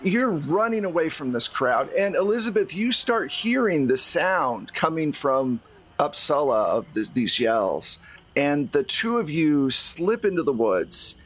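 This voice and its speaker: A man's voice: fairly high pitch, sing-song, unhurried, a bit bright. A man running a tabletop roleplaying game as game master, narrating and explaining in a conversational way.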